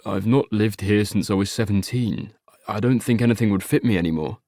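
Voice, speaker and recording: A meek young man, nearly thirty, in an audiobook, as a male reader voices him. He speaks low and quietly and sounds apologetic. The recording is dry and close. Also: brisk, no room sound, natural voice. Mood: subdued.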